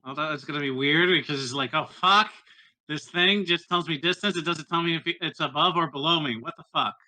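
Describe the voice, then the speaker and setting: Very bright, higher-pitched man, wry gamer. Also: brisk. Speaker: tabletop game player. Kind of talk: casual joking game chat.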